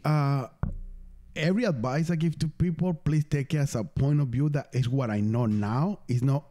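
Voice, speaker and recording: Man from venezuela, spanish native, podcast host, casual swearing chat, mellow warm voice. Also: medium pitch, normal speed. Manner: swears freely, broken English phrasing, animated storytelling.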